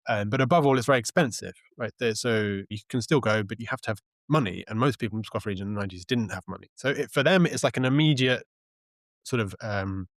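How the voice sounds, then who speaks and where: A man speaking as an interview guest, explaining things in a thoughtful, halting, conversational way. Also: fairly low pitch, no room sound. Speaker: interview guest, journalist and author.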